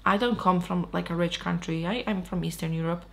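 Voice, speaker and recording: A woman's voice: on the low side, steady tone, a little fast, creaky. A woman in her thirties from Poland, giving frank, blunt motivational talk straight to camera. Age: 30s. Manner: frank, blunt, swears casually. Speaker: lifestyle vlogger.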